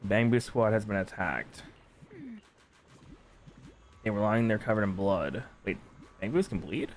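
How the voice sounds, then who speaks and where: A man's voice: lowish voice, animated, a little slow, soft background music. A man giving casual, muttered playthrough commentary and swearing freely as he streams a game. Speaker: gaming streamer reacting to a game.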